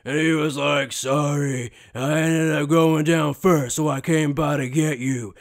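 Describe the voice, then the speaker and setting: A man voicing a drunken, hot-headed younger brother who complains loudly in slangy speech, in an anime dub. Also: fairly high pitch, steady tone, unhurried, vocal fry. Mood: drunken griping. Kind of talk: anime fan dub acting.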